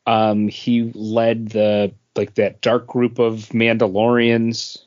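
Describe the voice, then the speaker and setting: A man's voice: on the low side, monotone, slow pace, darkish tone, dull audio. A man co-hosting a podcast, thinking aloud hesitantly in casual geek chat. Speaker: podcast co-host. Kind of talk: casual geek news discussion.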